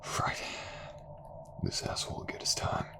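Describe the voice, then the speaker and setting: A man's voice: moderate pace, in a whisper, close to an everyday voice. A man playing a vengeful, tormented man who threatens his enemy with seething menace in an audio drama. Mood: seething, threatening. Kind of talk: dramatic audio roleplay acting. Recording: clearly noisy background ambience.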